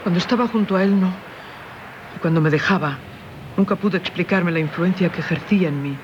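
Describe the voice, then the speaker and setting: A woman's voice: fairly low pitch, a little fast, natural voice. Radio drama, Castilian Spanish: actress as anguished married woman, grave confessional delivery, faint noise on a dull old recording. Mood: haunted, narrating. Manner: solemn, confessional, theatrical delivery. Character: tormented married woman haunted by a former lover. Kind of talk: 1970s radio theatre acting.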